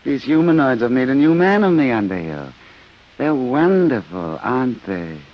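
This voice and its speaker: An actor playing a placid, dreamily contented professor with an altered mind in an old radio drama. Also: male voice, mid-range voice, sing-song, close to an everyday voice. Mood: beaming. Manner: slow, dreamy, beaming contentment. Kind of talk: 1950s radio drama acting. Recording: dull old radio recording.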